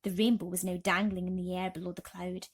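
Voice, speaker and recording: A woman's voice: lowish voice, flat delivery, fairly quick, husky. A woman narrating a children's bedtime story expressively.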